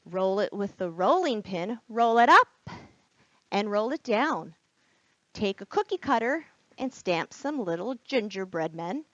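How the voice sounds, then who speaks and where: A woman reading aloud at a children's storytime as a librarian, expressive and encouraging, speaking straight to young listeners. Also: mid-range voice, unhurried, no echo. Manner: expressive, gasps and whispers for drama. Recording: dull, lo-fi sound.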